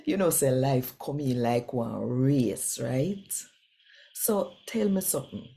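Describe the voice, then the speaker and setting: A woman's voice: a bass voice, animated, takes it slowly, vocal fry, dark tone. A woman from Jamaica with a Jamaican accent giving a devotional scripture reading in Patois, speaking directly and conversationally to listeners. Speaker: Bible reader and host.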